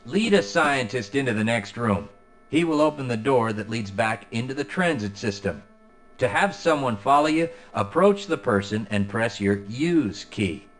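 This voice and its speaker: A man playing a formal, measured training course announcer giving instructions in a video game. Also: mid-range voice, animated, over music, lightly acted. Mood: formal, instructive. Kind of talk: scripted game tutorial narration.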